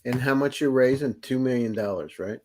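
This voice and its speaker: A man's voice: mid-range voice, a little fast, a little mellow, poor audio. A man on an investor panel pressing a founder with blunt, direct questions about money and plans. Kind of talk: probing business questions.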